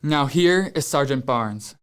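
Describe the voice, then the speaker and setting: A man's voice: mid-range voice, lively intonation, unhurried, a bit bright, clean tone. A man playing a confident, formal army captain giving an official report in a radio play.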